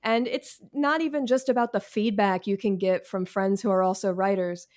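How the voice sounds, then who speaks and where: A woman's voice: mid-range voice, steady tone, moderate pace, darkish tone. A woman answering interview questions as a writer, candid and self-deprecating, with slangy, conversational phrasing. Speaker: guest, professional writer.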